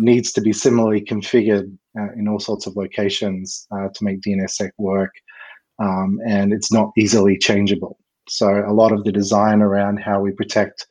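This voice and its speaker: A man, a guest expert, explaining a technical subject in a measured way with many ums and uhs, speaking over a video call. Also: lowish voice, darkish tone, poor audio. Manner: measured, with frequent um and uh fillers.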